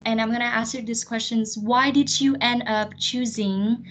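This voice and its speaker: High-voiced woman moderating a webinar. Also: fairly even intonation, moderate pace, noisy background. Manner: hesitant, many "um"s. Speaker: admissions counselor and moderator. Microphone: video call.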